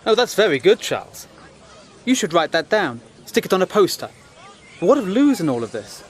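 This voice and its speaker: An actor playing a principled, sceptical British war correspondent in a First World War audio drama, earnest and articulate with a dryly challenging manner. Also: male voice, sits high, very animated, normal speed, a faint crowd, a little hiss or hum. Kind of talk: period audio drama acting.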